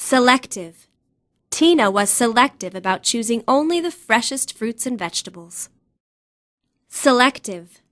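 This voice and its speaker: Higher-pitched woman reading vocabulary lessons. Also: animated, unhurried, a bit bright. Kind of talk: scripted read-aloud of words, definitions and example sentences.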